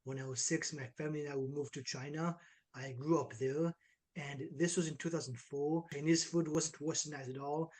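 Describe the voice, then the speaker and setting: A man in his twenties from Israel, an online fitness coach talking casually and energetically in an interview. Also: fairly high pitch. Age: 20s. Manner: energetic, uses many "like" and "right" tags.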